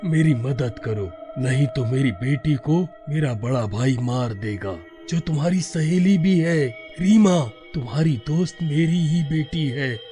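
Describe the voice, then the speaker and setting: A man playing the pleading, distressed ghost of a murdered father in an animated story, dramatic and halting. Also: a little high, soft background music. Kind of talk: Hindi animated story acting.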